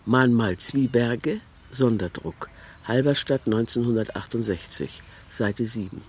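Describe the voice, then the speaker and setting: A man's voice: mid-range voice, unhurried, darkish tone, husky. A man reading out scripted, descriptive literary prose as if from a document. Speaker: reader of quoted documents.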